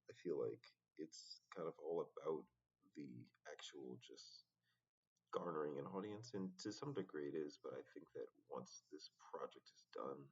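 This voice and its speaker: A man talking in a casual, reflective solo vlog, halting and often pausing mid-sentence. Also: a bass voice, a little mellow, slightly rough. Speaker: rapper vlogging his progress. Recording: dull, muffled lo-fi sound.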